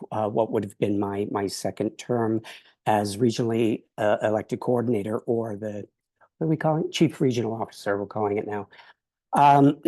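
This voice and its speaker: A man who is losing his voice speaks as a professor and regional officer on a video call, halting often with "uh" and restarts. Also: mid-range voice, dark tone, no echo. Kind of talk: unscripted remarks on a video call.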